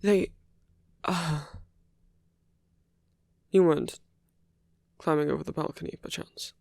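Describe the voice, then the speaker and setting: A man playing a smitten, idealistic villain in an intimate ASMR roleplay, with a husky, often whispered voice and an earnest, coaxing manner. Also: fairly high pitch, fairly even intonation, slow pace, a little mellow, no echo, studio quality, full character voice. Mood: urgent, concerned. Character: romantic male villain, smitten, idealistic, urging a lover to flee.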